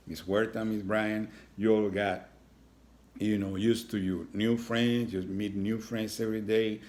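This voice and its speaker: Man, lowish pitch, teacher read-aloud, storybook narration, informal asides, reassuring, addressing young children. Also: a little mellow. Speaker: teacher reading aloud to schoolchildren.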